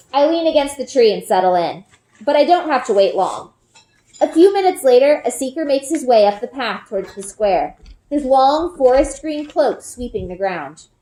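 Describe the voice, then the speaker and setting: A woman narrates a children's story with a fairly high voice. Her intonation is very lively and expressive, shaped carefully for young listeners. The recording has a clear room echo. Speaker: storybook reader. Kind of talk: expressive read-aloud narration of a children's fantasy novel. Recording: echoey, reverberant room.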